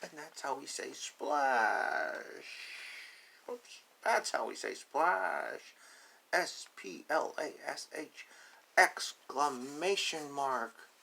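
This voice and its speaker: A man's voice: on the high side, lively intonation, drawn-out words, gravelly, bright, forceful tone, slight background noise. A man reading a picture book aloud to young children, explaining simply with repeated asides. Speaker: storybook reader.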